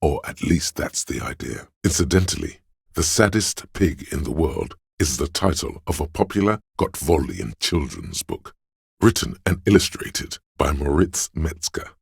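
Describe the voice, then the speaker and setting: A man voicing an erudite inner voice of encyclopedic knowledge in a video game, narrating lore in a measured, dryly sardonic manner with a gravelly, husky tone. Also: extremely low pitch, sing-song, dry recording, clean studio sound, heavily acted.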